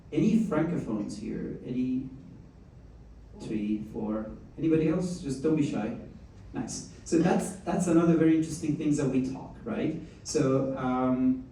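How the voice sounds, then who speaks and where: A man's voice: medium pitch, measured pace. A man hosting a live meetup, chatting casually and coaxing the audience to join in. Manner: animated, chatty, coaxing the crowd. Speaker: event host. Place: event room with audience. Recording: echoey room heard from far off, faint noise.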